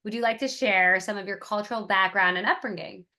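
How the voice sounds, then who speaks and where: A woman hosting a podcast, reading a prepared introduction and asking measured questions. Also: mid-range voice, fairly even intonation, sharp tone. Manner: measured, scripted-sounding questions. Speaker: podcast host. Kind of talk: podcast interview.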